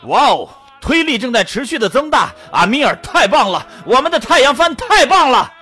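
Exultant male space crewmate, very high strained voice, raspy edge, exclamatory, radio drama. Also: moderate pace, soft background music, heavily acted. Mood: exhilarated. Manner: excited, exclamatory.

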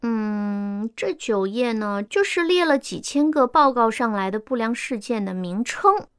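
A woman's voice: fairly high pitch, animated, a little slow, smooth, no echo, clean studio sound. A woman playing a timid, earnest, educated employee in a radio drama, hesitant and deferential as she speaks up. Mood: earnest, objecting. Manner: hesitant, deferential, meekly objecting.

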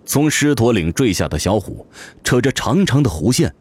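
A man delivering scripted narration as a voice actor, in a dramatic, measured storytelling manner. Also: medium pitch, very animated, slight background noise. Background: music.

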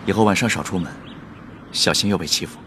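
An actor playing a quiet, restrained and wistful young man from a rich family in an audio drama. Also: low-pitched, fast, background noise, natural voice. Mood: gentle, reserved. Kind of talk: Chinese audio drama acting.

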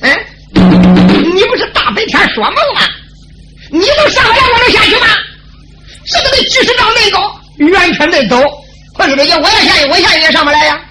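A woman's voice: high voice, fast, over loud music, poor audio. A woman voicing a scornful, teasing male woodcutter, in a sung folk tale. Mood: mocking. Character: gruff mocking male woodcutter, middle-aged.

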